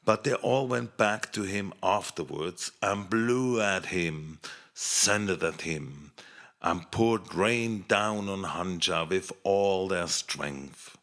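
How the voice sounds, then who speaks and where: Male fairy-tale narrator, unhurried, with widely varied intonation for young listeners. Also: sits low. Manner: expressive, measured children's storytelling. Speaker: storyteller.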